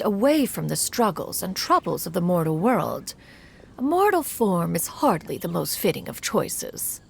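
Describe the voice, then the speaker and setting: A woman's voice: medium pitch, creaky, a little hiss or hum. A woman voicing a dignified ancient crane spirit who speaks in an archaic way, calling herself "one".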